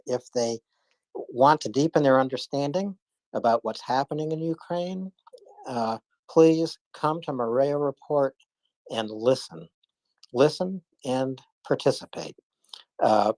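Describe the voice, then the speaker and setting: A man's voice: medium pitch, measured pace, darkish tone, no room sound, low-quality recording. A man hosting a live audio chat room, talking casually with many fillers and restarts.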